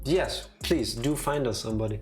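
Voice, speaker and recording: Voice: medium pitch, normal speed, darkish tone. A streamer chatting casually and teasingly while commentating on a game live. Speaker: streamer playing and reading out a game. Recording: music behind the voice. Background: music.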